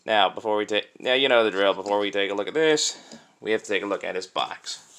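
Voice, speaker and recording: A young man giving a casual, unscripted toy review in a chatty, halting way, recorded on a cell phone. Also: on the low side, fairly bright tone. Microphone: cell phone used as camera.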